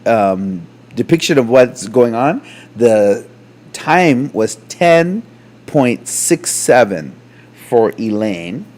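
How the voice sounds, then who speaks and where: A man's voice: mid-range voice, slow. A man from Canada co-hosting a casual podcast, telling stories with laughter and acting out the quotes. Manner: animated, laughing storyteller who acts out quotes. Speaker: podcast co-host. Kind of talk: casual chat.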